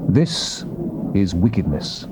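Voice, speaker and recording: British guy doing a solemn angel proclaiming gods word, grave and commanding, big swings in pitch, hissy old bible tape. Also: very animated, slow pace, exaggerated voice. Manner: grave, oracular, declamatory. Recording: noisy old cassette tape recording.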